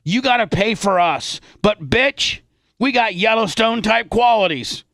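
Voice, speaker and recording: A man's voice: high-pitched, expressive, unhurried, a bit bright, no echo. A man hosting a radio show with unscripted talk, blunt and salty in the way he speaks.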